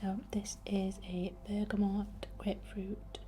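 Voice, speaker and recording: A woman whispering breathily and gently as an ASMR host, chatting and guiding a healing ritual in an unhurried way. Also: medium pitch, little pitch movement.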